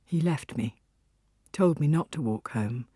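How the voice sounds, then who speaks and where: A woman reading a first-person literary story as an audiobook narrator, with a husky voice. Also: low voice, lively intonation, unhurried, mellow, no room sound, clean studio sound.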